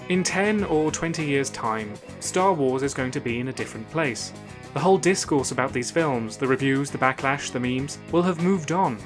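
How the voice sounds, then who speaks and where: A man hosting a scripted video essay, narrating in a measured, analytical and argumentative way. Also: medium pitch, animated, over music.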